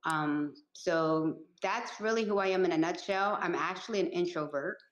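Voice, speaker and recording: A woman's voice: on the low side, normal speed, reverberant, highs cut off. A woman in her forties who grew up in the Northeast, answering interview questions in a laid-back, straightforward way. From Puerto Rico, raised in the Northeastern US. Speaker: guest, life coach.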